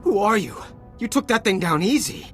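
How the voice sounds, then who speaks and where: A man's voice: sits high, animated, normal speed, fairly bright tone. An actor playing a cocky young male ensign who jokes sarcastically and stammers when scolded, in a game cutscene. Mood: panicked. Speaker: rescued junior officer. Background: faint music.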